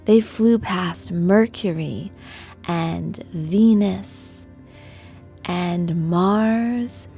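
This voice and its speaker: A woman narrating a children's bedtime story as a guided meditation, in a gentle, soothing, hushed manner. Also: fairly high pitch.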